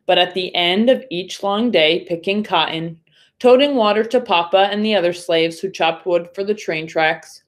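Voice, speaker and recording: Female primary teacher for children's read-aloud, lowish voice, very slow, instructive delivery. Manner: deliberate, instructive phrasing aimed at young pupils. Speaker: third grade teacher.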